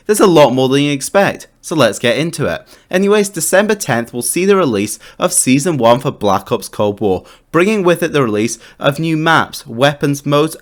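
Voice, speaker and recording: A man narrating a scripted update for a video game channel as its host, enthusiastic and putting emphasis on each new point. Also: a little high. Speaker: gaming YouTube host.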